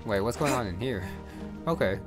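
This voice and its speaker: A man's voice: lowish voice, animated, normal speed, music in the background. A man giving casual gameplay commentary, rambling as he thinks aloud. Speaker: gaming video host. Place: voice-over with game music behind.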